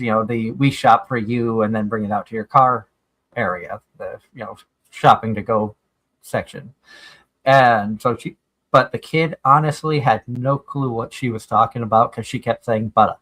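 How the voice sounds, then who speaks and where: A man's voice: medium pitch, steady tone, normal speed, sharp tone, rough recording. A man from Massachusetts, hosting a casual radio chat and rambling through anecdotes with repeated words. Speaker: radio show host. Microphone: streamed call mic.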